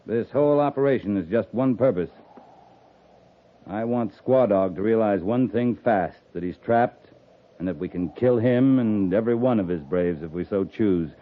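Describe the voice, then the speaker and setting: An actor playing a stern, shrewd, commanding US Army cavalry captain in 1950s radio western style. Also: male voice, on the low side, normal speed, close to an everyday voice. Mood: firm command. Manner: commanding, clipped, sternly challenging. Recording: muffled lo-fi 1950s radio recording.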